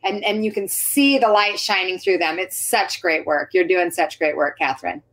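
A woman's voice: on the low side, moderate pace, a bit bright, poor audio. A woman in her forties hosting a casual interview, friendly and rambling as she tosses out questions. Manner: friendly, rambling, lots of fillers. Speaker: podcast host.

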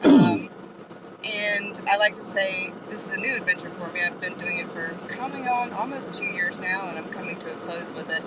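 A woman in her forties chats candidly from the cab of a moving truck, filling her pauses with ums. She sits back from the mic. Loud road noise rolls under everything she says. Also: lowish voice, far from the mic. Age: 40s. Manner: candid, self-deprecating, lots of ums. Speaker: guest, truck driver. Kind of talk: casual introduction in an audio chat.

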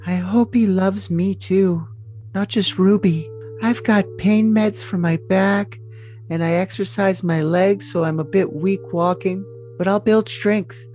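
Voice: mid-range voice, fairly even intonation, unhurried, dark tone, soft background music, natural voice. A performer voicing a sweet, submissive young woman in love, in an overly dramatic, gushing reading of messages. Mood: earnest.

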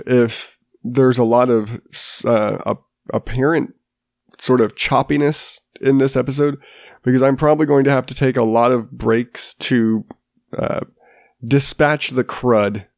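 Stuffy-nosed man, thirties, podcast host, gravelly voice, dry close mic, rambling and self-mocking. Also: medium pitch, steady tone, unhurried, darkish tone, dry recording. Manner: rambling, self-deprecating, frequent restarts. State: congested from a sinus infection. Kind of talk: casual solo podcast monologue.

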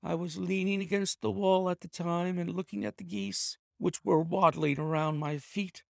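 A man's voice: sits high, normal speed, creaky, no echo, studio quality, voice pushed up. A man reading aloud and voicing a frightened, cornered male thief who pleads and confesses in a panicky way. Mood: nervous confession. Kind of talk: audiobook character voice.

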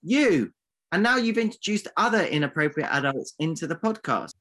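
Bright-voiced teasing man. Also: on the high side, animated, normal speed. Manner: teasing, mock-insulting, theatrical. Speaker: podcast co-host. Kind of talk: comic banter.

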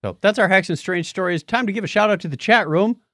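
A man's voice: high voice, fast, fairly bright tone, no room sound, studio quality. A man hosting a podcast, chatting casually and playfully through news stories. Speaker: podcast host. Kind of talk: casual news banter on a live-streamed podcast.

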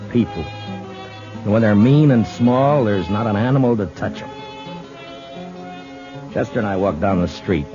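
An American actor playing a gruff, reserved Old West town marshal in a radio Western, speaking in a terse, halting, low-key way. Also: male voice. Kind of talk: 1950s radio Western acting. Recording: muffled 1950s radio recording with music.

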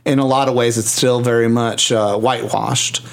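A man's voice: medium pitch, fairly even intonation, moderate pace, vocal fry. A man from Puerto Rico talking casually as a panelist in a podcast discussion.

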